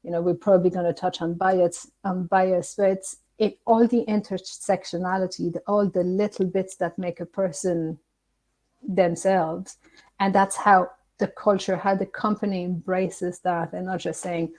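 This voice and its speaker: Non-native english woman on a panel, low mellow voice, stops and restarts a lot. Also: steady tone. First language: not English. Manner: halting, with many restarts and fillers. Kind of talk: webinar panel discussion.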